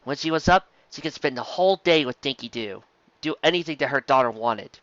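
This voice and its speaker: Animated, bright-voiced storybook narrator. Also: mid-range voice, fairly quick, no echo, highs cut off. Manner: animated, stumbles over words. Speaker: narrator of fan fiction. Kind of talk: expressive scripted story narration.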